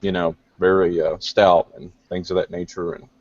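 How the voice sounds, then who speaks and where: Deep-voiced man on a muffled call. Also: level tone, normal speed, darkish tone. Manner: thoughtful, hesitant, many fillers. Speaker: guest newcomer. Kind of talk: casual online group discussion. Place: gym. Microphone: video call. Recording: dull lo-fi online call audio.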